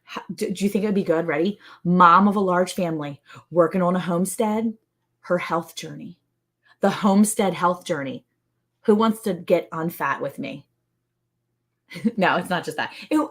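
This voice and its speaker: Chatty woman in her forties. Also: fairly low pitch. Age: 40s. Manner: chatty, teasing, greets viewers by name. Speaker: co-host of a ranch livestream. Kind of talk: casual livestream chat.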